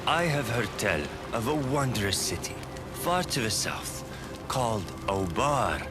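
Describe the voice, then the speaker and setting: An actor playing a man in a video game who tells of a lost city in a slow, expressive way. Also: mid-range voice. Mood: awed. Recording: game audio with loud music.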